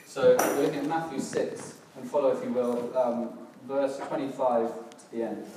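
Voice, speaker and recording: Hoarse man preaching in echoey hall. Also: medium pitch, normal speed. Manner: relaxed, self-deprecating, hesitant with fillers and restarts. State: slightly hoarse, crackly voice. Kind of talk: casual church sermon. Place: church hall, in front of a congregation. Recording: distant, very echoey hall with background noise.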